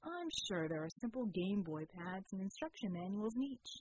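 A woman's voice: medium pitch, big pitch swings, normal speed, background noise, voice pushed down, natural voice. A woman voicing a sarcastic male rogue from a superhero audiobook, grumbling and scoffing. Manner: sardonic, grumbling.

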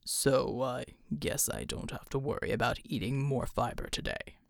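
A woman voicing a calm, injured grown man who speaks slowly in a low, quiet, half-whispered murmur. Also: gravelly, dry recording, studio quality. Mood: weary calm.

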